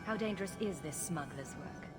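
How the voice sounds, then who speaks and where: A sarcastic young adventurer woman voiced by an actress with a fairly low voice and very lively swings in pitch. She sounds wry and quick-witted, as in a fantasy game cutscene, with music behind her. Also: lightly acted. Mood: wry, composed. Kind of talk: video game cutscene acting.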